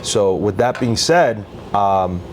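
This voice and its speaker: A man co-hosting a casual podcast, talking in a relaxed, easygoing way. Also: lowish voice, slow, noisy background. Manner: relaxed, easygoing, many fillers. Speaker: podcast co-host. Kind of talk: casual chat.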